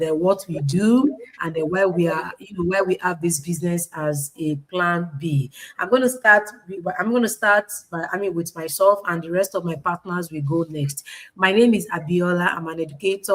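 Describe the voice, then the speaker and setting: A woman's voice: on the low side, fairly bright tone, rough recording. A woman presenting informally online, emphatic, repeating phrases and asking rhetorical questions. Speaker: online presenter, business owner and educator.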